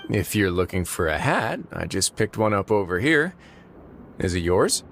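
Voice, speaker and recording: An actor playing a calm, confident male prison warden who talks in a relaxed, dry, wry way in a video game. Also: deep voice, normal speed, vocal fry, a little hiss or hum, heavily acted.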